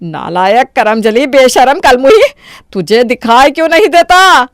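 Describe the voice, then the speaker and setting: A woman voicing a quick-tempered, berating Indian mother-in-law in a Hindi cartoon story. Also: high voice, animated, dry recording, studio quality. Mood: angry scolding. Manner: harsh, exclamatory, berating.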